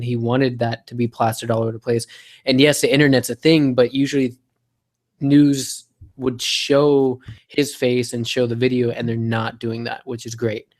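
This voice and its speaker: A man's voice: mid-range voice, a little mellow. Male co-host, mid-range voice, informal conversational delivery that circles back over its own phrases. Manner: conversational, repeats himself. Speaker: podcast co-host. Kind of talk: casual chat.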